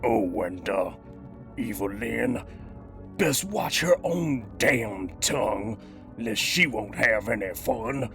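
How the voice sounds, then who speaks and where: A man's voice: lowish voice, gravelly, bright, forceful tone, full character voice. A man voicing a cocky cybernetic gangster villain who hosts a toy review, talking in theatrical, slangy old-time mobster style. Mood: cocky, smug. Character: cybernetic master criminal gangster, cocky, streetwise action-figure villain. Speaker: host of a toy review show. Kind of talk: in-character scripted review monologue.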